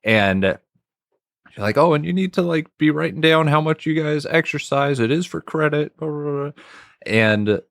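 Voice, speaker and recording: A man chatting with friends at a roleplay table, telling a story with lively put-on voices for the people he quotes. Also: fairly high pitch, moderate pace, vocal fry, no echo, studio quality. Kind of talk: casual chat among friends, telling stories.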